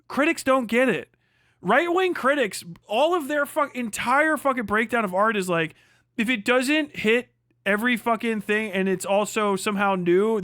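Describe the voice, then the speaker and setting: A man with a notably high voice delivers unscripted livestream commentary. He is opinionated and emphatic, swearing freely and repeating himself to hammer points home. The sound is close and very dry, with no room echo. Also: fairly bright tone. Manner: profane, emphatic, repetitive. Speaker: livestream host.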